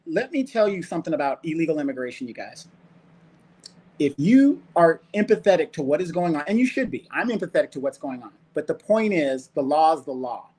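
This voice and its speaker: A man with an American accent giving casual political commentary as a co-host, emphatic and argumentative, speaking straight to the listeners. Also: a little high. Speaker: talk show co-host.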